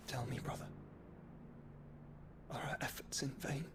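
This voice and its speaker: A man's voice: mid-range voice, flat delivery, a little slow, noisy background, close to an everyday voice. A weary, solemn noble voiced by a man. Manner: solemn, falls to a hush. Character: weary, brooding male noble speaking to his brother.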